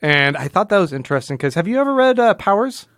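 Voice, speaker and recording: A man's voice: high voice, very animated, fast talker, fairly bright tone, no echo, studio quality. A man co-hosting a podcast, talking casually about comic books in informal, slangy phrasing. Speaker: podcast co-host. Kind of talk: casual comic book discussion.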